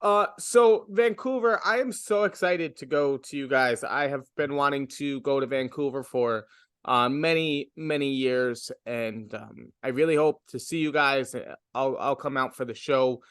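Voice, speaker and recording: A young man talks things through slowly in a relaxed interview, searching for his words and starting over often. His voice sits at an ordinary middle pitch. The sound is very dry and close. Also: smooth, no room sound. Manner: reflective, halting, full of fillers and restarts. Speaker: comedian. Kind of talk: casual interview.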